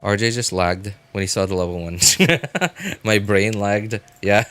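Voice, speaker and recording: Man, low-pitched voice, casual game streamer, rambling, halting delivery, breaks off mid-thought. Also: steady tone, a bit bright, smooth. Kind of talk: casual live gameplay commentary.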